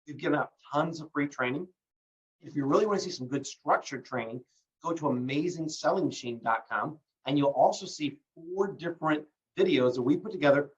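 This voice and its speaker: A man hosts a live online call in a loose, filler-heavy way while recovering from bronchitis. He sounds set back from the mic. The audio is dull and lo-fi. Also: on the high side. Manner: relaxed, many fillers, promotional. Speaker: host. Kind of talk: livestream call hosting. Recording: distant muffled lo-fi call audio.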